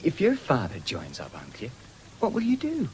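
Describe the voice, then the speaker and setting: A moody young farmer, hesitant yet intense, with pitch that jumps about. It is 1970s radio drama, with a little noise on the recording. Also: male voice, huge pitch swings, moderate pace, darkish tone, rough recording. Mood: urgent, unsure.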